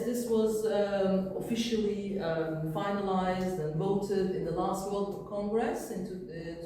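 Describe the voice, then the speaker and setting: A woman whose first language is Persian, giving an informal workshop talk with relaxed fillers. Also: medium pitch, slight background noise. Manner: relaxed, explains with many fillers. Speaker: workshop speaker. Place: workshop room. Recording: echoey room from a distance.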